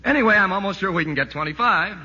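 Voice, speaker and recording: Fussy, animated radio architect. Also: male voice, sits high, fast, slightly rough, dull audio, heavily acted. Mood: sheepish. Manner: explanatory, hemming, apologetic. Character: fussy, hesitant architect calmly reciting costly building problems. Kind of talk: 1940s radio comedy acting. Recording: echoey old radio broadcast.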